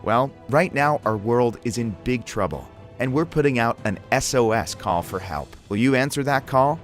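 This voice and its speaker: A man reading a scripted radio ad, earnest and measured. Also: medium pitch, animated, soft background music. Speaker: announcer.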